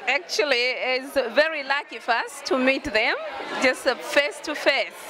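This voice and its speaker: A woman's voice: high voice, normal speed. A middle-aged woman, a film extra and mother, giving modest, chatty answers into a handheld mic at a cinema event. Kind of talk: casual interview answers. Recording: crowd chatter and background noise.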